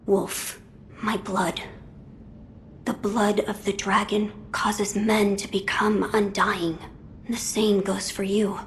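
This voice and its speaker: A woman plays a young noble boy in a game cutscene. He speaks formally and earnestly, with little rise or fall in pitch. A light rumble runs behind the voice. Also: flat delivery, slow pace, natural voice. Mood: grave resolve. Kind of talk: video game cutscene acting.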